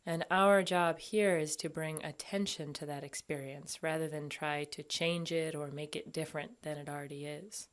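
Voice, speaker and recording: A woman with a fairly low voice leads a guided body scan meditation. She speaks as an instructor, gently and unhurriedly. She leaves long pauses between her phrases. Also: steady tone.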